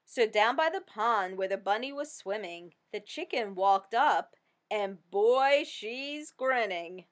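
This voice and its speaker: A woman reading a rhyming children's story aloud with expressive, sing-song delivery. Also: medium pitch, slow. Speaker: storybook reader.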